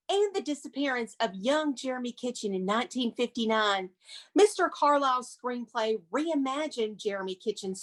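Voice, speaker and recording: A woman presenting as a museum curator and reading a script, with theatrical, emphatic, dramatic narration. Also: sits high.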